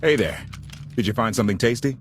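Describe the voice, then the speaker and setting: Actor as low-voiced, earnest rebel captain. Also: male voice, lively intonation, fast talker, slight background noise, natural voice. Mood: upbeat, confident. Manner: casual, earnest. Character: male deserter warship captain, earnest, optimistic, idealistic rebel. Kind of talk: video game voice acting.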